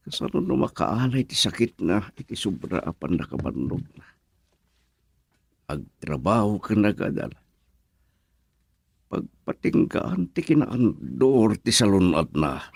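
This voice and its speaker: Radio drama father, strict and worried, played by a man; husky, low. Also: very animated, a little slow, pauses often, a little mellow, full character voice. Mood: stern.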